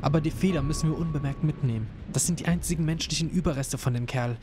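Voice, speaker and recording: A man's voice: a little high, fast talker, close to an everyday voice. A young man playing a curious student who takes charge, in an audio drama. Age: young adult. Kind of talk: amateur audio drama acting. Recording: music behind.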